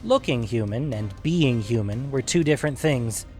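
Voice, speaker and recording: A man's voice: medium pitch, expressive, normal speed, darkish tone. Man narrating a fantasy story over music.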